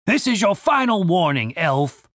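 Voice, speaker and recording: A man voicing a haughty, sneering male soldier who barks contemptuous orders, in an audiobook. The voice sits higher than his narration and has a different tone. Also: sing-song, measured pace, fairly bright tone, no room sound, clean studio sound, full character voice. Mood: menacing. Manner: contemptuous, barking.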